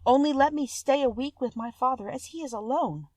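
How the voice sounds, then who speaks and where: Woman playing a sincere, soft-hearted storybook maiden. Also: sits high, brisk, no echo, studio quality, voice pushed up. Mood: tearful, pleading. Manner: earnest, polite old-fashioned speech.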